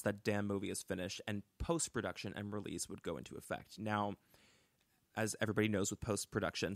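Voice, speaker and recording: A young man with a fairly low voice tells a story to his co-host. He is casual and animated and fills his talk with "like" and quick restarts. The mic is close and dry, with no room sound. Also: creaky, dry recording. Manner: chatty, animated, full of "like" and restarts. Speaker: podcast co-host. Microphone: close studio mic.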